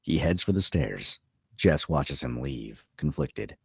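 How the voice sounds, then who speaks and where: A man's voice: extremely low pitch, animated, slow, creaky, slightly rough, dry recording, studio quality. A man narrating stage directions as scripted narration at a table read.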